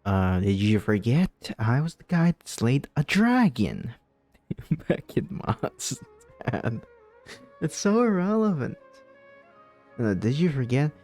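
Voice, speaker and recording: A game streamer talks casually over play and reads lines aloud. The voice is mid-pitched and the manner informal and joking, with faint music behind. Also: very animated, slow, a little mellow, dry recording.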